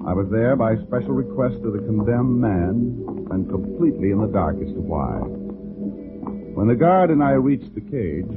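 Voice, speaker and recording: A man acting a wry, hard-boiled private investigator in a 1940s radio drama, with a deadpan delivery. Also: on the low side, close to an everyday voice. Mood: wry, grim. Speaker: lead actor and narrator. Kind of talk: 1940s radio detective acting, first-person narration. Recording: tinny 1940s radio broadcast with music.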